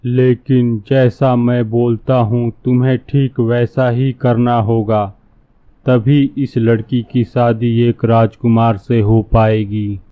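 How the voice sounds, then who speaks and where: A man's voice: medium pitch, little pitch movement, slow pace, no echo, lightly acted. A man playing a scheming, lecherous fake holy man in a cartoon, speaking in a sly, pompous way. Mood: smoothly persuasive. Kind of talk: cartoon dialogue. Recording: narrow, phone-like muffled sound.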